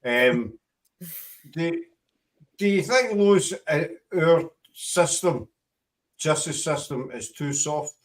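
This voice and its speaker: A man's voice: a little high, expressive, slow pace, pauses often, distant mic, rough recording. A Scottish man hosting a casual panel chat, rambling and correcting himself as he talks. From Scotland. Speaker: host.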